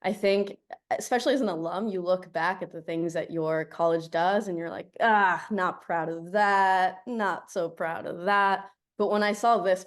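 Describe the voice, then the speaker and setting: A young woman from Colombia, a native Spanish speaker, giving a chatty, upbeat guest talk over a video call and laughing often. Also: on the low side.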